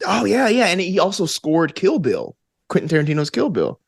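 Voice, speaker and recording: A man's voice: high voice, huge pitch swings, normal speed, vocal fry, slightly rough, no room sound, clean studio sound. A man talking casually as an interview guest, with an enthusiastic, geeky manner and a habit of rambling off on tangents. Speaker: podcast guest, actor and tabletop gamer.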